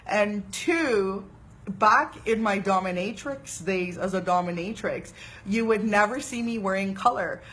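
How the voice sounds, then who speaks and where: A woman talks through spiritual practices in an easy, conversational way, with a voice in the middle range. She is animated and chatty, drifting into fillers and quick "right?" check-ins as she goes. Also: mid-range voice. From Trinidad and Tobago. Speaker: spiritual teacher. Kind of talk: casual spiritual talk to camera.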